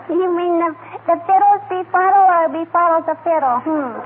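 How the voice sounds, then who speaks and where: A woman's voice: very high-pitched, moderate pace. A woman playing a stubborn, cheeky, inquisitive little girl in a 1940s radio comedy. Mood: teasing. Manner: repeats a catchphrase, stubborn, interjects puzzled hums. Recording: tinny, hissy 1940 radio broadcast.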